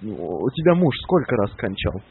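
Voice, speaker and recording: Husky young man needling over the phone. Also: on the low side, very animated, normal speed, no room sound. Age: 20s. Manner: needling, mock-innocent questioning. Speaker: prank caller. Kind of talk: prank phone call. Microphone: telephone. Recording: tinny phone line.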